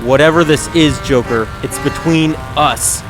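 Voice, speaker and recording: Man playing urgent, higher-voiced young hero. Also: unhurried, music in the background, lightly acted. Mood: determined. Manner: urgent, intense, dramatic. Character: earnest, desperate young male masked vigilante hero. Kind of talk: radio drama acting. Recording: noisy rain and traffic bed.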